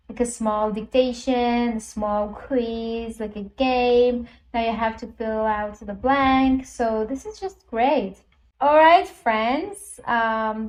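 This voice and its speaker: A woman's voice: a little high, darkish tone, poor audio. A woman whose first language is Persian, a language teacher casually walking viewers through an app step by step with many ums and uhs. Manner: chatty, explains step by step with frequent ums and uhs. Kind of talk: casual screen-recorded app walkthrough.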